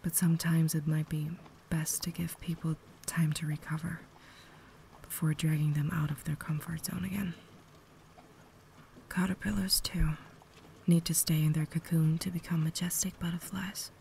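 A woman playing a cultured, caring vampire lady in an intimate ASMR roleplay, whispering softly with refined, gentle phrasing. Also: sits low, level tone, normal speed. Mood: gentle, reassuring. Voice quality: soft, breathy whisper. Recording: noticeable background ambience noise.

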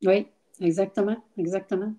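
A woman's voice: medium pitch, steady tone, normal speed, warm tone. An older woman with a Quebec French accent, speaking frankly on a video call, with many fillers. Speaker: interview guest. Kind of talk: casual testimony. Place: home room, indoors. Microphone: laptop webcam on video call.

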